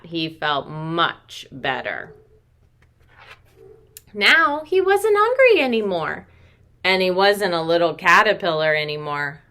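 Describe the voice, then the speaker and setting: An American woman in her thirties, a preschool teacher reading and explaining to young children in an expressive way with questions and drawn-out words. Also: mid-range voice. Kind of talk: children's story read-aloud and craft instruction. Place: small home room with sloped ceiling.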